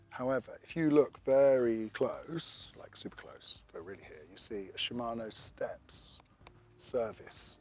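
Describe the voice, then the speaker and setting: A man who is losing his voice, chatting casually through a bike review to camera with rambling asides. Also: medium pitch.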